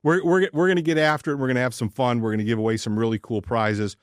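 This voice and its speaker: A man's voice: medium pitch, fairly even intonation, quick pace, no echo, clean studio sound. A man co-hosting a casual sports podcast, chatting informally and jokingly, often stammering and repeating his words. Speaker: podcast co-host.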